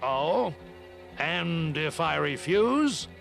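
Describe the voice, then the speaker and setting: An actor in an animated series playing a stubborn, haughty cavalry captain with a pompous, deliberate, emphatic manner. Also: male voice, a little high, gravelly, heavily acted. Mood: stern. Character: stubborn, haughty male cavalry captain, strict about rules. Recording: music behind the voice.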